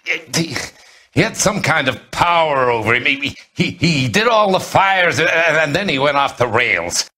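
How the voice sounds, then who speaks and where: Wounded crooked businessman, harsh piercing tone, husky, profane, desperate, in pain, game drama. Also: a little high, expressive, a little slow, pushed and bright, full character voice. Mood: desperate, bitter. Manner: profane, snarling, pleading. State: in agony, crying out. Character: wounded foul-mouthed crooked middle-aged businessman, defiant and desperate. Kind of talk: dramatic video game acting.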